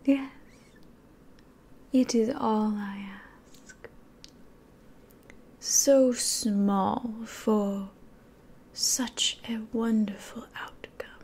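Young woman doing a sly demon lady in asmr roleplay, breathy coaxing whisper, super slow and soft, mellow tone. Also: on the high side, fairly even intonation, heavily acted. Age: 20s.

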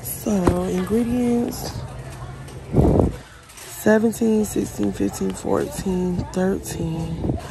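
A woman's voice: mid-range voice, drawn-out words, creaky, pauses often, loud music behind the voice. A woman filming a casual shopping vlog in a supermarket, chatting and thinking aloud as she reads labels. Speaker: vlogger. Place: supermarket aisles. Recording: noisy store background.

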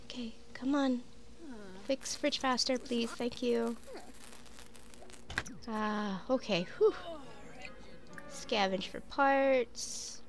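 A sniffly young woman gives slow, relaxed commentary over her gameplay, often talking straight to her little characters. Her voice is a touch high with a gravelly edge, and faint hum sits behind her. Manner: chatty, talks to her sims, frequent "like" and "um". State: sniffly with a cold. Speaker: gaming YouTuber. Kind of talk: casual let's play commentary.

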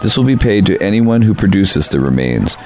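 A man voicing a formal, measured official reading documents aloud in a radio play. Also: low voice, big pitch swings, darkish tone, faint music behind.

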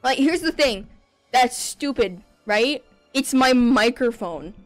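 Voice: medium pitch, animated, slow pace, faint music behind. A young adult streamer chatting casually over gameplay in their bedroom, rambling and laughing often. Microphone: desk microphone.

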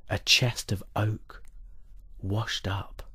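Very slow, husky, low-voiced man reading poetry. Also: little pitch movement, bright, forceful tone, no room sound. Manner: measured, solemn, deliberate pauses between short phrases. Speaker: poet reading own work. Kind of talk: poetry reading, dramatic monologue.

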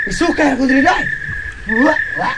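A man's voice: very high voice, expressive, moderate pace. A man with a Filipino accent playing a tipsy, teasing, envious drinking buddy in a comedic radio drama, bantering boisterously. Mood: tipsy, exasperated. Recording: very noisy radio drama recording.

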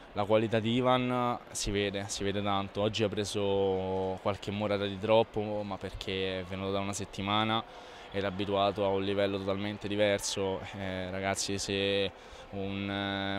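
Young male athlete, post-match, low and monotone, loose repetitive answers, handheld mic. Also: slight background noise. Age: young adult. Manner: relaxed, repetitive, self-correcting answers. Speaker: volleyball player. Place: indoor sports hall in front of a sponsor wall. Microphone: reporter's handheld mic held close.

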